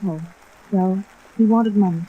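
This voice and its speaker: An actress playing an anxious, guilty wife in 1950s radio drama, pleading and defensive. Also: female voice, mid-range voice, measured pace, husky. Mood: defensive, anguished. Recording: old tinny radio recording, faint rain hiss.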